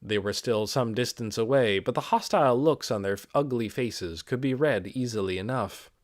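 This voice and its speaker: A man reading a fantasy novel aloud as scripted audiobook narration, with expressive storytelling delivery. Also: mid-range voice, moderate pace, dry recording, studio quality. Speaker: amateur audiobook reader.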